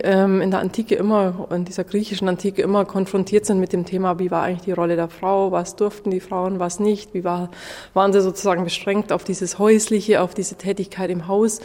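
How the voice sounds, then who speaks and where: A woman's voice: on the low side, a little mellow. A woman speaking as a museum curator, explaining things off the cuff as a guide would. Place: indoors in a museum exhibition room. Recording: long reverberant exhibition hall.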